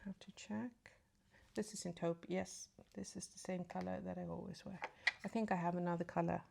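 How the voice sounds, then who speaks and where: A woman chatting casually through a makeup tutorial, speaking softly and often half-whispering, with a slight cold and a sore throat. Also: lowish voice, fairly even intonation, fairly quick, gravelly, slight background noise. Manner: soft, often half-whispered asides while applying makeup. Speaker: beauty vlogger. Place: at home in front of a mirror.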